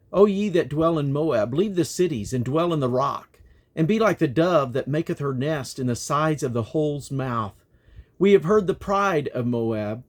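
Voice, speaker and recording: A man reading scripture aloud in a solemn, declamatory manner, with measured phrasing. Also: mid-range voice. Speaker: Bible reader. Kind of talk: reading scripture aloud, King James text.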